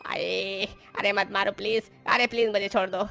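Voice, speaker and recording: A greedy burglar, played by a man, with a sharp, forceful tone set a little high. He is a cowardly crook from a moral tale, heard over music. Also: level tone, moderate pace, creaky, exaggerated voice. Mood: begging. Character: greedy house burglar, threatening but cowardly. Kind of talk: acted Hindi moral story dialogue.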